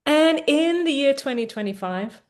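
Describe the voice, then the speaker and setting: A woman therapist guiding a tapping exercise, explaining gently with frequent fillers, then leading phrases to repeat. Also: sits high, animated, moderate pace. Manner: gentle, instructive, many fillers. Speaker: therapist and coach. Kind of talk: guided tapping session.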